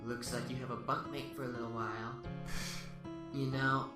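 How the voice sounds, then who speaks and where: A man's voice: medium pitch, level tone, moderate pace, natural voice. A man playing a smug, sadistic serial killer who taunts the police with mock politeness in a radio drama. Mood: gloating. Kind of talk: amateur radio drama acting. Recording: roomy, distant, under loud music.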